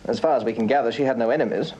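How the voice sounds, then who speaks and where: An actor playing a brisk, businesslike police inspector in a radio crime drama, with a British accent and methodical, matter-of-fact delivery. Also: male voice, mid-range voice, mellow, slightly rough, dull audio, natural voice. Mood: factual, methodical. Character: brisk, businesslike male police inspector, deferential to his chief. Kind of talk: full-cast radio crime drama acting.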